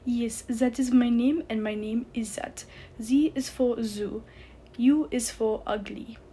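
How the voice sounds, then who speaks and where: A woman acts out a tiny, manic male storybook creature with an over-the-top French accent. He is pompous and theatrical, declaiming with loud, excitable self-importance. The delivery is slow and emphatic, with clear outdoor noise behind it. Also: on the high side. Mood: grandiose, boastful. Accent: exaggerated French. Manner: shrieking, bouncing, pompous declamation.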